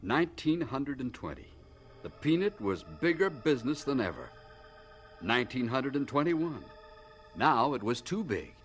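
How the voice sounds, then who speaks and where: A man narrating a radio drama as a solemn storyteller, measured and dramatic, addressing the hero directly. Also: medium pitch, big pitch swings, over music, close to an everyday voice.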